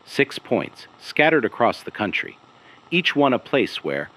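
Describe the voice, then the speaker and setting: A man narrates a scripted investigative mystery podcast, speaking in a measured, grave way with deliberate pauses. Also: mid-range voice, sing-song, a bit bright, poor audio. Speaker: host of an investigative audio series.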